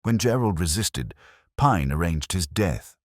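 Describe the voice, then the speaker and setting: A man voicing a commanding, shrewd detective in an audiobook, speaking in deliberate, authoritative statements. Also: deep voice, lively intonation, no room sound, clean studio sound. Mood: accusing, forceful.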